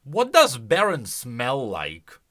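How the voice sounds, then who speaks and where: A man's voice: mid-range voice, huge pitch swings, slow pace, bright, forceful tone. A man hosting casual video game commentary, emphatic and thinking aloud as he goes. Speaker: video creator and host.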